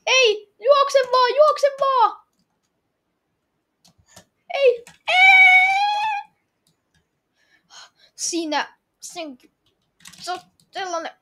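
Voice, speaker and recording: A woman playing a game and reacting out loud in a dramatic, exclamatory, pleading way. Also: extremely high pitch, sing-song, long pauses. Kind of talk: excitable reactive gameplay chat.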